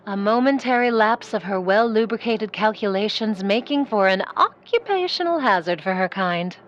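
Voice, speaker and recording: An actress playing an ambitious, sardonic aspiring film star in a 1940s-style noir audio drama, dry and theatrical. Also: female voice, medium pitch, lively intonation, moderate pace, muffled recording, close to an everyday voice. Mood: scornful.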